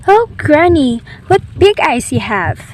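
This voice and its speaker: A woman's voice: sits high, sing-song, measured pace. A woman playing an innocent, cheerful young girl in a storybook radio drama. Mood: curious, concerned. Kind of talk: amateur radio drama acting.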